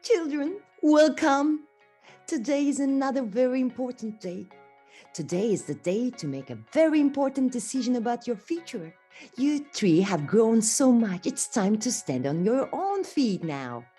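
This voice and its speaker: A woman's voice: a little high, big pitch swings, unhurried, mellow, slightly rough, faint music behind, pitched above the performer's own voice, exaggerated voice. Woman doing an earnest mother pig. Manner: earnest, instructive.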